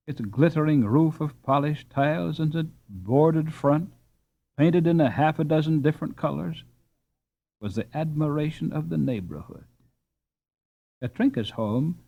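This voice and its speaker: A man reading a classic children's novel aloud in an expressive, lilting storybook manner. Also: fairly high pitch. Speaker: audiobook narrator. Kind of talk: scripted storybook narration. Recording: dull lo-fi recording.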